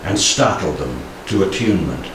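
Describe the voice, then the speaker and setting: A man in his sixties reciting poetry solemnly, with measured, dramatic phrasing and pauses, into a handheld microphone in a room. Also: deep voice, lively intonation. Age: 60s. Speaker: poetry reader. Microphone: handheld stage microphone. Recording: very echoey room, distant, faint noise.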